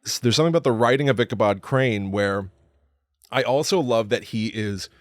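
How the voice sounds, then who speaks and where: A man's voice: mid-range voice, brisk, no echo, studio quality. Man chatting casually on a film podcast. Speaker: podcast co-host. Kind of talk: casual film discussion chat.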